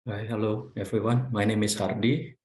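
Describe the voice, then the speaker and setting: Male Indonesian participant, very low pitch, echoey call with faint noise. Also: flat delivery, moderate pace, a little mellow. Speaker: meeting participant. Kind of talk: brief informal self-introduction. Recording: roomy, faintly noisy call.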